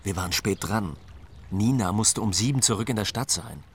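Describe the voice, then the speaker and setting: An actor playing a casual, gruffly terse man among friends in a radio drama. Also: lowish voice, lively intonation, normal speed, fairly bright tone. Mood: matter-of-fact. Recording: rain and vehicle noise behind.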